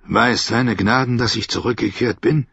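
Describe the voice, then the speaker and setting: A man's voice: mid-range voice, normal speed, vocal fry, fairly bright tone, husky, no echo, dull audio. A man voicing a weary, humble middle-aged knight in a fantasy audiobook, subdued and courteous. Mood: puzzled.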